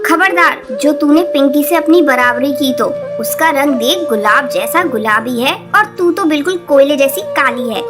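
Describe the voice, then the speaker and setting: A woman acts out a cruel cartoon mother bird with a high-pitched voice. She scolds and sneers in a harsh, contemptuous manner. Music plays clearly behind her. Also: animated, moderate pace, hardly any pauses. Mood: scornful. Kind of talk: dubbed cartoon story acting.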